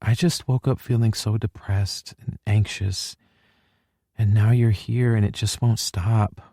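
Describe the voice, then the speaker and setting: A man voicing a tearful, anxious young woman in an audiobook, raising his voice into a soft, shaky tone. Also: on the low side, steady tone, measured pace, no echo, studio quality, pitched above the performer's own voice.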